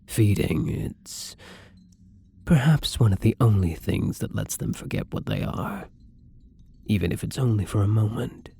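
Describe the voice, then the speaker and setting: A man playing a gentle, lonely, tormented vampire in an intimate ASMR roleplay, speaking softly and hesitantly in a breathy, brooding way, often near a whisper. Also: on the low side, animated, exaggerated voice. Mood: brooding, haunted. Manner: slow, hesitant, brooding, tender. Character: melancholy young male vampire, gentle, lonely, tormented, once human.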